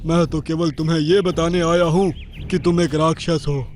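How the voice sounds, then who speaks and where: A man voicing a shrewd lion king in a children's cartoon, with deliberate, regal delivery. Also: a little high, steady tone, darkish tone, a little hiss or hum, natural voice. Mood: cunning. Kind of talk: children's cartoon character acting.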